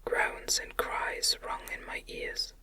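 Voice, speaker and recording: Slow whispering woman reading a story. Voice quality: breathy, unvoiced whisper. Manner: gentle, soothing, unhurried. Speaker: audiobook reader. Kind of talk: ASMR whispered reading of a gothic novel.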